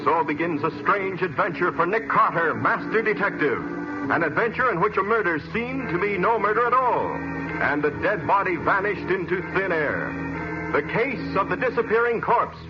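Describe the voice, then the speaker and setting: An actor playing a dramatic mystery announcer, narrating in melodramatic old-time radio style. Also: male voice, sits high, animated, normal speed, over music. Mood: ominous, dramatic. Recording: muffled vintage radio recording.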